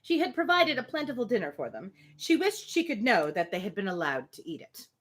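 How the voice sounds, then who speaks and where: A woman's voice: medium pitch, fairly quick. A woman hosting a live reading of a classic novel, reading expressively with casual, amused asides. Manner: expressive reading, breaks off for informal amused commentary. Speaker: host reading a novel aloud on a livestream.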